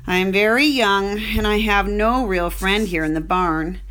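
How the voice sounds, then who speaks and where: A woman's voice: mid-range voice, measured pace, fairly bright tone, close to an everyday voice, poor audio. A woman voicing a lonely young male pig who pleads earnestly, in a storybook reading. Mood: pleading.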